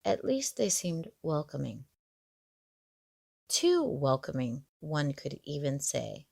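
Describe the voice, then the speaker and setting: Slow-paced woman narrating, with a creaky voice. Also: low-pitched, sing-song, darkish tone, no room sound, studio quality. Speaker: horror story narrator. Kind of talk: scripted first-person story narration.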